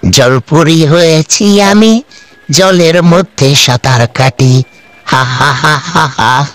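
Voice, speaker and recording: Man voicing an excitable, high-pitched cartoon girl adventurer. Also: takes it slowly. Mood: gleeful, dreamy. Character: excitable girl adventurer flying on a magic carpet. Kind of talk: improvised cartoon character narration.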